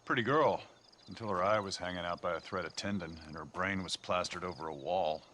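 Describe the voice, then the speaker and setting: A man playing an enigmatic, faintly menacing gentleman stranger in a western game, speaking in a measured, needling manner. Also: low voice. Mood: knowing, needling. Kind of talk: video game cutscene acting. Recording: faint outdoor insect noise.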